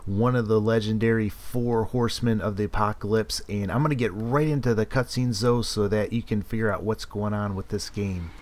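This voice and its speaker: A man's voice: fairly low pitch, brisk, few pauses. Laid-back man doing gameplay commentary. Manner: relaxed, conversational, leans on fillers like "kind of". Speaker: gaming channel host. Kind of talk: casual let's play commentary.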